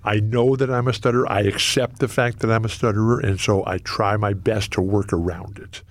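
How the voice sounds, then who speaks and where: A man's voice: on the low side, brisk, no echo. A man in his sixties who stutters, telling stories energetically as an interview guest. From Los Angeles. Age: 60s. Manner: lifelong stutterer, energetic storytelling. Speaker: radio broadcaster, guest. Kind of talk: interview conversation.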